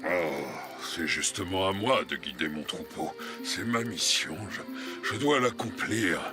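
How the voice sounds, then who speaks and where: Very deep, weary old bison. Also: male voice, lively intonation. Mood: solemn, weary. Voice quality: deep, heavy voice. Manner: solemn, halting. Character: old male bison scout, weary, earnest, self-doubting. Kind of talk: dubbed cartoon acting. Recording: loud music under dialogue.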